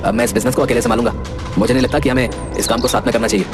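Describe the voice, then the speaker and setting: A man voicing a hot-tempered, rude young man in a Hindi cartoon, talking in a brash, shouting way. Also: medium pitch, steady tone, rapid-fire, natural voice. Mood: arrogant. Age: young adult. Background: background music.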